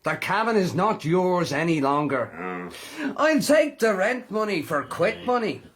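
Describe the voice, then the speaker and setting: Scornful Irish estate agent for radio drama: high, swooping delivery, slow and domineering. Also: male voice, huge pitch swings, a bit bright, heavily acted. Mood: mocking. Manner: blustering, sneering, domineering. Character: bullying, scornful male estate agent, greedy and contemptuous. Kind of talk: period radio drama acting.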